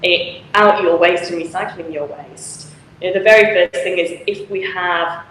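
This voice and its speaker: A woman's voice: on the low side, steady tone, normal speed, fairly bright tone. A woman from Manchester, a charity founder speaking frankly and chattily as an interview guest over a video call. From Manchester, England. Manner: frank, chatty, laughs often. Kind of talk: conversational interview. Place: charity office with volunteer lockers. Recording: echoey room with faint noise.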